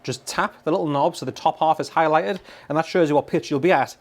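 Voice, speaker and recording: High-voiced man demoing guitar gear. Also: a little fast, heavy background noise. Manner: enthusiastic, informal. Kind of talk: casual product demo. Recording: electric guitar playing behind voice.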